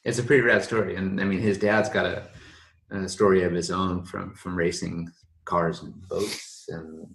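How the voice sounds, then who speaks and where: A man's voice: low voice, level tone, normal speed, creaky, fairly bright tone, a little hiss or hum. A man hosting a casual podcast chat, rambling with frequent restarts and laid-back slang. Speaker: podcast host. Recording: roomy echo.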